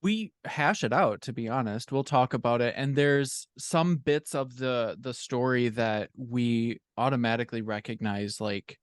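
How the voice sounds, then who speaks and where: A man's voice: medium pitch, unhurried, hardly any pauses, smooth, no echo, studio quality. A man talking conversationally as a guest in a podcast interview, explaining things in an informal, thoughtful way. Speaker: podcast guest, fiction co-author.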